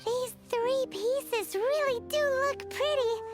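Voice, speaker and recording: A woman voicing a tiny, perky, childlike fairy companion in a video game, squeaky, naive and blunt. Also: takes it slowly. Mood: curious. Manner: naive, cute, exclamatory. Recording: game audio under loud music.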